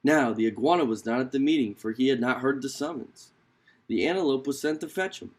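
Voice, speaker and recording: A man reading a children's animal folktale aloud as an expressive storyteller. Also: mid-range voice, fairly quick, slight background noise.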